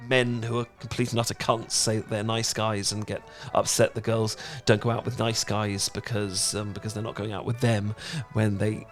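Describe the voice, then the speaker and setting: A man's voice: fairly low pitch, flat delivery, normal speed, hardly any pauses, soft background music, natural voice. A British man playing a cute, awkward young dork in a romantic roleplay, stammering and rambling as he talks. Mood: anxious babbling. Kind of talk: romantic ASMR roleplay acting.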